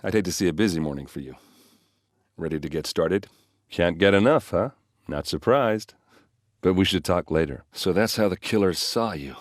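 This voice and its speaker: A man voicing a gruff, hard-drinking warrior captain in a video game, loyal and brash, with a blunt, wry, informal way of talking. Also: low voice, normal speed, dry recording, studio quality. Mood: wry, casual. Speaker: game character voice actor. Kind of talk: video game dialogue and combat lines.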